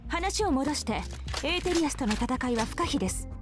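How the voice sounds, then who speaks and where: A woman voicing a dutiful young policewoman in a Japanese anime game, with a crisp, formal manner. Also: sits high, expressive, rapid-fire. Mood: brisk, official. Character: brisk, dutiful young policewoman who turns flustered about family. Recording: game trailer audio with music.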